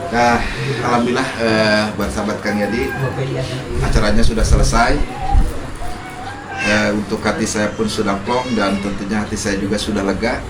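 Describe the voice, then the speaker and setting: A man's voice: lowish voice, flat delivery, moderate pace, long pauses, distant mic, over loud music. A man from Indonesia giving an earnest speech as host of a village ceremony, growing emphatic at times. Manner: earnest, emphatic when defending himself. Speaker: host handing over a prayer house. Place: outdoors in a village. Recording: echoey, from afar, with noise.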